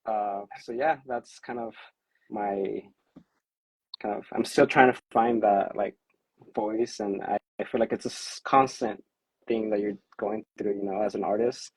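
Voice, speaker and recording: Husky, hesitant man on a video call. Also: on the low side, a little slow, dry recording, rough recording. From California. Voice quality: self-described monotone. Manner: hesitant, many fillers, self-described awkward and anxious. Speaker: musician on a livestream chat. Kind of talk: casual livestream chat.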